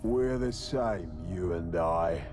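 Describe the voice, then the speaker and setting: Deep, menacing, deranged killer. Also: male voice, slow pace, music in the background. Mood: quietly menacing. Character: deranged, vengeful male serial killer and rogue assassin. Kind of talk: dramatic video game acting.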